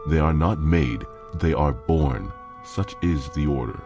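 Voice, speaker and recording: A man's voice: a bass voice, lively intonation, a little slow, over music, heavily acted. A man playing a stern, proud pony father and guard veteran, lecturing emphatically in a fan-made audio drama.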